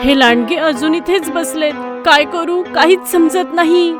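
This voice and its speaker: A woman voicing an anxious, self-sacrificing mother goat in an animated story, pleading and tender. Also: very high voice, unhurried, music in the background, pitched above the performer's own voice. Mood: worried. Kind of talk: animated story character voice acting.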